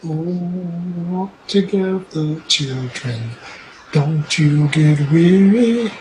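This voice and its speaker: A man playing a gentle, devout preacher who slowly sings spirituals in a radio western. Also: a little high, over music, poor audio. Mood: solemn devotion. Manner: slow, drawn-out singing. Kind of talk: sung spirituals in radio drama.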